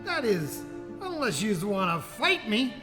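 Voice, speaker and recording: Slow, tough New guard. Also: female voice, mid-range voice, big pitch swings, fairly bright tone. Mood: gruff, threatening. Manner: blunt, menacing, slangy. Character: tough, threatening, uneducated security guard. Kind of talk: radio play acting. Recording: reverberant hall sound, music behind.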